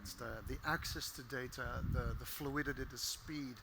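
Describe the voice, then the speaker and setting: A man who implements systems answers questions on a panel in a measured, thoughtful way. His voice is mid-pitched, and a faint noise runs underneath it. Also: fairly even intonation. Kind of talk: panel discussion.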